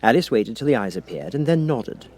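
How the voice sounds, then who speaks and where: A man's voice: medium pitch, sing-song, fairly quick, darkish tone. A man reading a children's story as a scripted audiobook narration.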